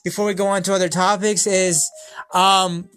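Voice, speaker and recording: High-voiced American man hosting a video call. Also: level tone, a bit bright, smooth, faint music behind. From United States. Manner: slow, deliberate, repeats himself. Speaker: host. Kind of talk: casual chat over video call.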